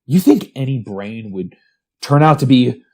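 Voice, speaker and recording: A woman playing an obsessive, taunting young man who builds robots, husky-voiced, in a retro radio drama. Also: a bass voice, animated, measured pace, a little mellow, heavily acted. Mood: obsessive, teasing.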